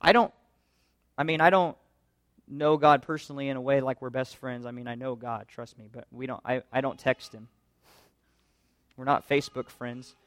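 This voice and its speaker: A man's voice: mid-range voice, fairly even intonation, normal speed, long pauses, fairly bright tone, dry recording, clean studio sound. A man pastor preaching a sermon in an earnest, conversational way, full of rhetorical questions.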